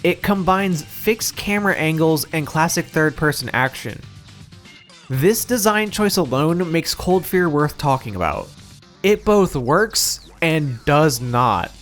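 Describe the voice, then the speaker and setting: A man narrating a scripted video game review in a wry, opinionated, casually profane manner, as the reviewer himself. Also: a little high. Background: music.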